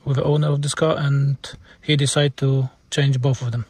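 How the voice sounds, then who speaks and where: A man with a Polish accent, a native Polish speaker, explaining car repairs informally as a mechanic in halting, non-native English. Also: mid-range voice, flat delivery, a little mellow, breathy edge, no echo.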